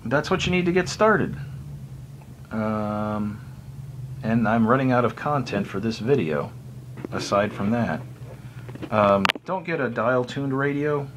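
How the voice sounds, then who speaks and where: A man's voice: medium pitch, lively intonation, slow, long pauses, noisy background. A man giving a casual, unscripted hobby explainer in a folksy, rambling, self-deprecating way. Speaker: hobbyist video presenter.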